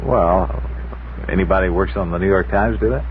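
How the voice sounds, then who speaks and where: A deep-voiced man chats casually on a radio panel in a wry, teasing way. The old broadcast recording is narrow and slightly noisy. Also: a little fast. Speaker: radio talk show guest. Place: radio studio.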